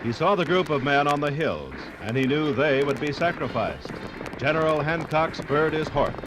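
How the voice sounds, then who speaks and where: A man's voice: on the high side, lively intonation, normal speed, noisy background. A man giving solemn, dramatic scripted narration in a 1950s radio style. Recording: 1950s radio recording, music behind.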